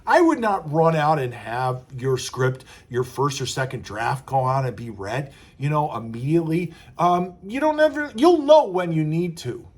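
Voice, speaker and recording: Casting: male writing coach, somewhat high-pitched, informal and conversational, slips into animated self-quotes while advising. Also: moderate pace, few pauses. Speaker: screenwriting coach. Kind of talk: casual advice talk to camera.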